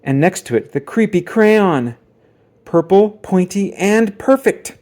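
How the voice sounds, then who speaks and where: A man narrating a children's book aloud as dramatic storytelling, with theatrical emphasis and suspenseful pauses. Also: high-pitched, expressive, darkish tone.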